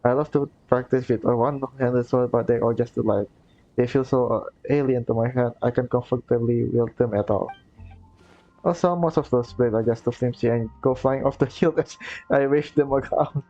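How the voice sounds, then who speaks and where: An earnest, polite young knight-maid, read aloud by a man in his own plain voice, tripping over a word now and then. His tone is mellow and dark. The recording sounds dull and lo-fi. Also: mid-range voice, fairly even intonation, normal speed, faint music behind, natural voice. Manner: plain reading aloud with stumbles.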